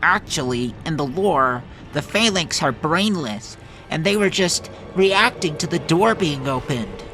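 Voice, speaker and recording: A man's voice: on the high side, expressive, a little slow, pushed and bright, a little hiss or hum, pitched above the performer's own voice, heavily acted. A man voicing a smug, nitpicking internet commenter in a comedy video. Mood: smug, pedantic. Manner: pedantic, nitpicking. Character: pedantic know-it-all internet commenter, smug.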